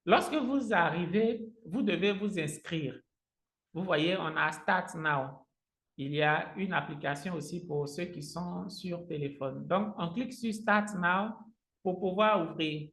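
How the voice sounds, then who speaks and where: A man whose native language is Agni, teaching a live software tutorial step by step as an online trainer, often repeating his phrases. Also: high voice, measured pace. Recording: roomy, echoing sound.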